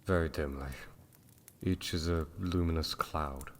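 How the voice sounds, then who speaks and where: A man playing a proud, brooding, jealous blind gentleman in a gothic romance audio drama, speaking in formal, intense, old-fashioned phrases. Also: rumbling low, steady tone, a little slow. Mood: tender, melancholy. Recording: long hall-like reverb.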